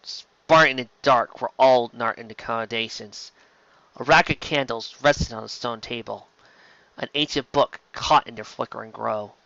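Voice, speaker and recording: A man's voice: medium pitch, normal speed, vocal fry, bright, forceful tone, no echo, lo-fi sound. A man reading science fiction aloud as dramatic scripted narration. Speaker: reader of fan fiction.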